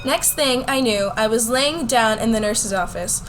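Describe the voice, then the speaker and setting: High-voiced high school girl narrator, voiced by a woman. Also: moderate pace, soft background music, natural voice, poor audio. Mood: narrating. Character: bold, competitive high school girl telling her story. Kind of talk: scripted first-person radio drama narration.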